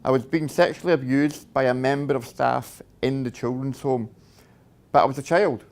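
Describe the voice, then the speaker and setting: A middle-aged Scottish man speaks in a mid-range voice, telling his life story in plain, direct words. He fills pauses with "uh" and keeps checking in with "okay?". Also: mid-range voice, darkish tone. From Scotland. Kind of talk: personal testimony talk.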